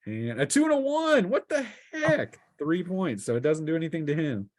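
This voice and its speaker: A man's voice: fairly high pitch, sing-song, normal speed, no room sound. A man casually narrating a tabletop game as game master, in an informal, jokey and exclaiming manner.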